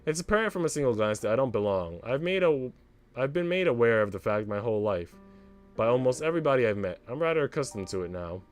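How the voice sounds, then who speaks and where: Visual novel role: worldly female parlour maid, sardonic and composed, with expressive intonation, read by a man. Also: mid-range voice, fast talker, soft background music, close to an everyday voice. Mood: resigned, wry. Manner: dry, unruffled, sardonic. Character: wry, worldly older parlour maid, an outsider woman.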